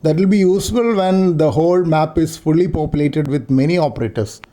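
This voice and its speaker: Male tutorial narrator, slightly high pitch, soft mellow tone, halting unscripted delivery in short explanatory phrases. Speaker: amateur radio operator giving a software demo.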